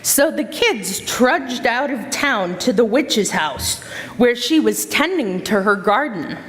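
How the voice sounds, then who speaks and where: A woman narrates a storybook tale for readers theater. Her voice is fairly high and very expressive, taking its time, with the long reverb of a hall. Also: slow pace.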